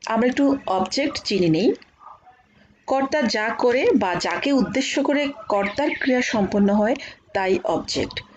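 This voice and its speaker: A woman with a Bangladeshi accent giving an explanatory grammar lecture as a college teacher, speaking haltingly with frequent filled pauses. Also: a little high, expressive, dull audio, a little hiss or hum. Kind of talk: explanatory online lecture with examples.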